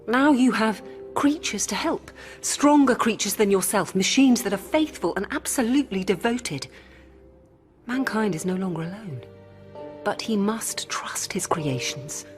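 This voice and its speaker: A woman playing a calm, rational robot hybrid in human guise, speaking in measured, formal, didactic lines in a radio drama. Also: mid-range voice, lightly acted. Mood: earnest, persuasive. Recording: music behind.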